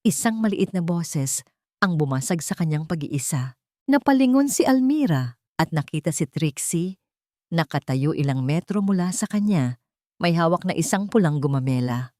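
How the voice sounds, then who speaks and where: A woman's voice: low-pitched, big pitch swings, moderate pace, dark tone, dry recording, clean studio sound. A woman narrating a scripted romantic drama in an emotive storytelling manner.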